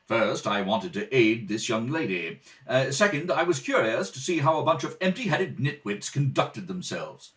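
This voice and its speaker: A man's voice: mid-range voice, very animated, normal speed, fairly bright tone, far from the mic. A man playing a polite down-and-out fellow turned butler in a radio comedy, hesitant, courteous and dryly humorous. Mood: indignant. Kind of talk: 1930s radio comedy read-through. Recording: home recording, off from the mic.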